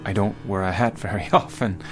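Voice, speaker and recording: An actor playing a bewildered, urgent man stranded in the past, halting and insistent, in a radio drama. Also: on the low side, fairly even intonation, faint music behind, lightly acted. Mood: awkward, polite. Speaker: lead actor.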